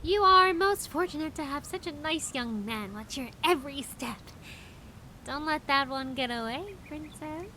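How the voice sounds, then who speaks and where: A woman playing a sly, bossy female confidante in a fan audio drama, teasing with drawn-out, pointed questions. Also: high-pitched, lively intonation, pushed and bright, a little hiss or hum, exaggerated voice.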